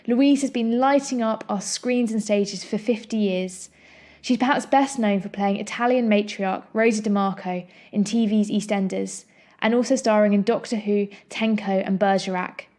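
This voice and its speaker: A woman hosts an arts podcast. She speaks at a middling pitch, in the manner of someone presenting and interviewing. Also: moderate pace, a little mellow. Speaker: podcast host and interviewer, playwright. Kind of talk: scripted introduction, then conversational interview.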